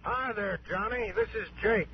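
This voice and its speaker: A man's voice: sits high, moderate pace, natural voice. An actor playing a folksy, wily older Arizona insurance man in a radio drama, talking in a hesitant, homespun way. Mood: genial, folksy. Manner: drawling, hesitant, folksy hemming and hawing. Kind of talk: 1960s radio drama acting. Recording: muffled, faintly noisy old radio recording.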